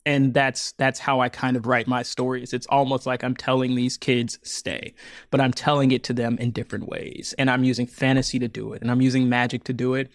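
Earnest man, lively, dry close sound. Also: a little fast, no echo. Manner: earnest, animated, laughs often, voice jumps high when amused. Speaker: podcast guest, audio storyteller. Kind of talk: reflective interview talk.

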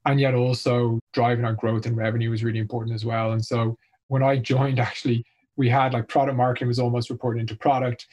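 A man from Ireland speaks as an executive guest in an interview, with a husky, slightly rough voice at a middling pitch. He explains his thinking in a conversational, measured business register. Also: little pitch movement, a little mellow, lo-fi sound.

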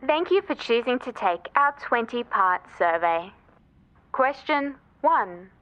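Dull phone-line sound, corporate hotline operator, highish lively pitch, formulaic, falsely cheerful, audio drama. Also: female voice, takes it slowly, no room sound. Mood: automated cheer. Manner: formulaic, upbeat, impersonal. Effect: telephone line filter. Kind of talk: scripted customer-service recording in audio drama.

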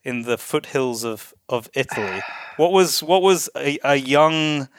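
Smooth, clear male interview host. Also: mid-range voice, lively intonation, unhurried, no echo, clean studio sound. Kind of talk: casual conversational interviewing.

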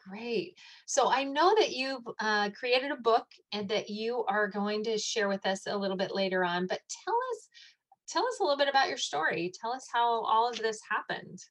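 A woman's voice: a little high, normal speed, a bit bright. A woman hosting an interview, enthusiastic and prone to repeating herself as she introduces her guest. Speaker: host.

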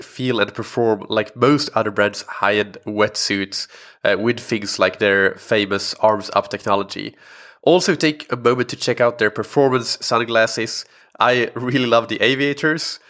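A man's voice: lowish voice, moderate pace, a bit bright. A young man hosting a podcast, congested from a recent illness, chatting casually with many fillers and restarts. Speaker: podcast host. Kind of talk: casual solo podcast talk.

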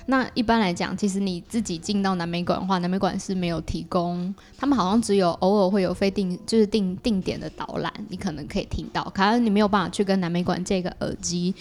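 A woman's voice: lowish voice, fairly even intonation, fast talker, few pauses, a little mellow. A woman with a Taiwanese Mandarin accent, a guest explaining things in a casual conversational way. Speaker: guest, artist presenting own work.

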